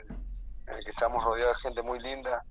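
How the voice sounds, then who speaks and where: A man's voice: mid-range voice, level tone, fast, highs cut off. A man in his thirties with an Argentine accent, a boxer guest talking on a radio phone interview, hesitant and repeating words, laughing easily. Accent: Argentine Spanish. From San Martín de los Andes, Argentina. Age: 30s.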